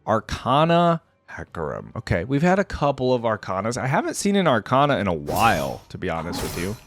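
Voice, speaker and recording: A man's voice: fairly low pitch, huge pitch swings, a little fast, noisy background. A man giving casual, off-the-cuff reaction commentary as a video host, thinking aloud in an informal way.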